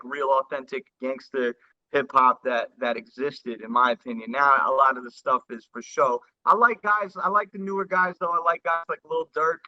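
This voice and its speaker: Bright-voiced man in his thirties, earnest. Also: a little high. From New York and New Jersey area. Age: 30s. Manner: earnest, halting, with many restarts and "you know" fillers. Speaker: rapper guest. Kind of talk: casual interview storytelling. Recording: dull lo-fi sound.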